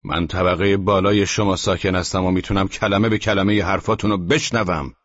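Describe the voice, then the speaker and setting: A man's voice: low-pitched, fairly even intonation, fairly bright tone, pitched above the performer's own voice. A man voicing a tall, gaunt, indignant neighbor who scolds with formal menace in an audiobook. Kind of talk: audiobook character voice. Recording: dull, muffled, echoing room recording.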